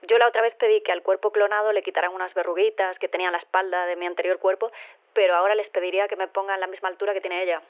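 An actress playing an easygoing phone-in guest in an audio drama, casual and matter-of-fact, with an accent from Spain. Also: female voice, mid-range voice, steady tone, racing, lightly acted. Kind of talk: conversational fiction interview. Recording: tinny narrow telephone line.

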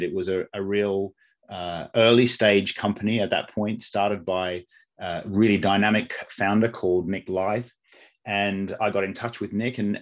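Middle-aged aussie guy, accent mostly faded, really deep voice, kinda muffled audio, talks careful with lots of restarts. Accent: Australian, softened by long UK residence. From Australia. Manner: measured, with frequent restarts and fillers. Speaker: investment network CEO, guest. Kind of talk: podcast interview answers. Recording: slightly dull lo-fi audio.

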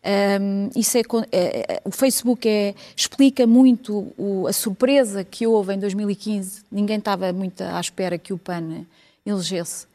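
A woman, speaking as an analyst in a televised panel debate, measured and thoughtful with hesitations. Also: medium pitch, mellow. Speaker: political analyst.

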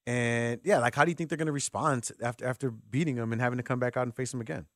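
A man's voice: medium pitch, fairly even intonation, quick pace, a bit bright, no room sound, clean studio sound. A man hosting a sports radio show, talking conversationally as he interviews a guest.

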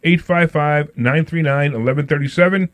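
A man's voice: fairly high pitch, moderate pace, dry recording. A man hosting a financial talk radio show, joking and rambling, repeating himself as he goes. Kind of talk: casual talk radio banter. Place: radio studio.

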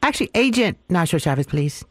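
A woman's voice: fairly low pitch, very animated, a little fast, no echo, clean studio sound. A woman doing a pushy, cocky fake travel agent on a radio prank call, brusque and teasing.

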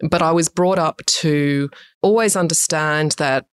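A woman's voice: sits low, measured pace, smooth. A middle-aged Australian woman from Sydney, talking chattily and expressively as a guest in a podcast interview. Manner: chatty and expressive, with frequent fillers. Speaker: guest, urban design director.

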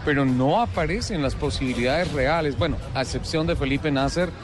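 A man, a motorsport journalist interviewing drivers at a show, joking and lively. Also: a little high. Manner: jovial, joking, fast-talking questioner. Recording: music playing behind.

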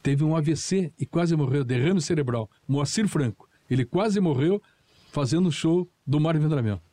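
An older man, a former TV director, telling lively anecdotes and acting out the orders he once gave. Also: on the high side, moderate pace, dry recording. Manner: lively, acts out shouted commands in retelling. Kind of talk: anecdotal storytelling.

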